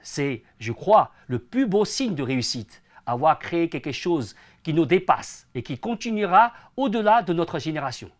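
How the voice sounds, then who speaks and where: A man's voice: a little high, very animated, normal speed, hardly any pauses. A man in his forties, born in China and raised in France, giving earnest, prepared answers as an interview guest. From born in China, grew up in France from age ten. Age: 40s. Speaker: interview guest, entrepreneur and association president.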